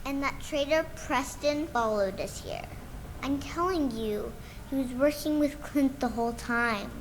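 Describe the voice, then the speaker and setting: A woman's voice: sits high, a little slow, a little hiss or hum, poor audio. An actress playing a bitter, stubborn orphaned girl in a video game, insistent and accusing. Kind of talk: video game character dialogue.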